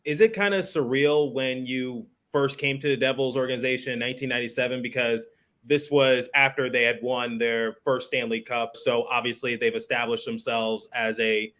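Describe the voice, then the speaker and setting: Male sports podcast host, mid-pitched voice. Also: steady tone, moderate pace, fairly bright tone. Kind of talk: conversational interviewing.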